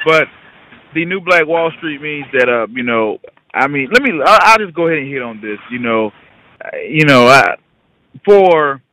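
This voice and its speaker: A man's voice: a little high, pushed and bright, dry recording, rough recording. A man talking casually and in a rambling way as a guest investing educator on a conference call. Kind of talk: casual conversational talk.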